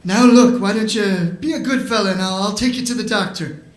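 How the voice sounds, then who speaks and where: An actor in a live 1940s-style radio play, playing a loud, blustering small-town policeman with a commanding manner. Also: mid-range voice, brisk, natural voice. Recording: roomy stage sound, faint music.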